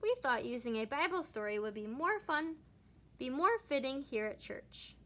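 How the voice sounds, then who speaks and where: A woman voicing a kindly, cheerful female bear neighbor from a storybook, gently explaining. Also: sits high, animated, normal speed.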